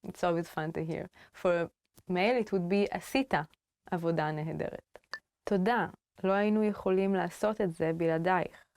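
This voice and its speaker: A woman teaching a language lesson on video, playful and upbeat, switching between slow explanations and quickly spoken example phrases. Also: lowish voice, a little mellow, no room sound. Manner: playful and upbeat, with frequent laughs.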